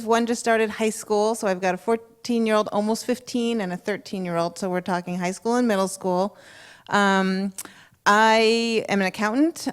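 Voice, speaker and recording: A woman's voice: medium pitch, smooth. A middle-aged woman giving a plain-spoken, casual introduction of herself to a live audience. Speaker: panelist, accountant. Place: church panel before an audience.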